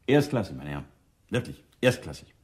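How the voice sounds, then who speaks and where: Commanding, genial police superior, low animated voice, radio crime drama. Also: male voice, a little fast, soft background music. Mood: jovial, patronising. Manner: jovial, patronising.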